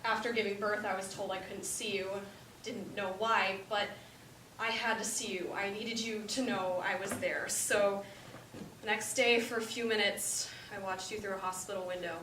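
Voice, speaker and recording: A woman's voice: medium pitch, steady tone, moderate pace, close to an everyday voice. A woman playing a regretful middle-aged birth mother in an earnest, emotional stage monologue. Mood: pained, regretful. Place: indoor stage, mic far off. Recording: roomy, distant stage recording with hiss.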